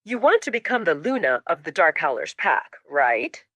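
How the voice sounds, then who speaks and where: Woman playing a measured, scheming Alpha father. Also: lowish voice, very animated, fairly bright tone, dry recording. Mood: coaxing, instructive. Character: patient, scheming middle-aged Alpha father. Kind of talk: audio drama character voice.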